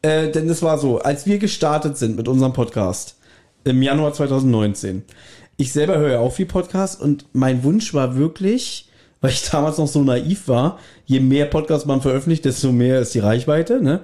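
A man's voice: medium pitch, moderate pace, mellow. A man hosting a podcast in casual chat, rambling along with self-deprecating remarks. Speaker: podcast host.

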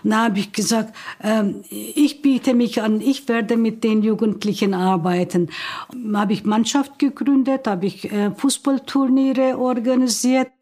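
A woman in her seventies, originally from Turkey, with a Turkish accent, recalling her life slowly and thoughtfully with frequent hesitations. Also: fairly high pitch, mellow. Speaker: interviewee. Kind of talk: personal recollection.

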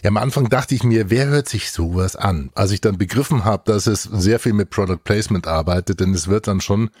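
A man's voice: fairly low pitch, a little fast, a little mellow, husky, dry recording, clean studio sound. A man hosting a podcast review with an informal, bantering manner. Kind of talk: casual review chat.

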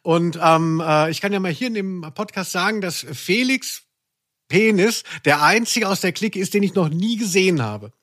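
A man's voice: high voice, moderate pace, fairly bright tone. A man hosting a podcast, chatting casually in a rambling, self-deprecating way. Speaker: podcast host. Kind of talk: casual conversational podcast chat.

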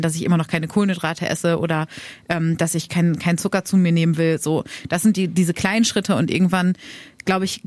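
A woman's voice: lowish voice, a little mellow, no echo. Earnest woman with many fillers. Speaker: radio podcast co-host. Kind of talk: casual chat.

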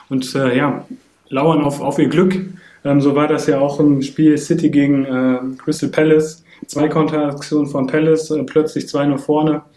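A man's voice: mid-range voice, warm tone, echoey room. A man co-hosting a casual football podcast, talking hesitantly with many fillers. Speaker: podcast co-host.

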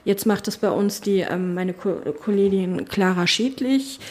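A woman's voice: mid-range voice, a little slow, a little mellow. A middle-aged woman, a politician, talking casually in an interview, thinking aloud with many fillers and restarts. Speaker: politician, spokesperson for family and education. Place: her constituency office.

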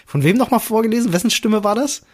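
A man with a slightly high-pitched voice chats as a podcast co-host. His delivery is informal but earnest, stressing his points as he explains them. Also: fast, slightly rough. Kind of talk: casual podcast chat.

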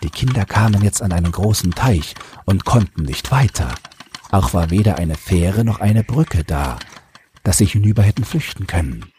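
A man's voice: low voice, lively intonation, normal speed, mellow, slight background noise. A man narrating a scripted fairy tale as an audiobook storyteller, close to the microphone. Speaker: narrator, podcast host.

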